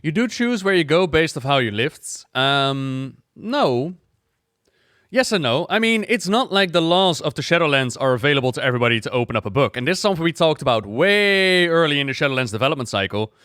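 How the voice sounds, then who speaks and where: A man giving casual reaction commentary as a game lore streamer, musing out loud in a conversational way. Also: a little high, expressive, a little fast, clear, resonant tone, no echo, studio quality.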